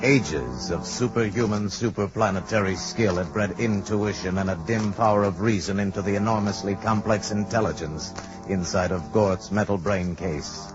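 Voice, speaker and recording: An actor playing a grave, portentous storyteller, narrating a 1950s science fiction radio drama with ominous weight. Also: male voice, on the low side, moderate pace, lightly acted. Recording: dull vintage radio, loud music behind.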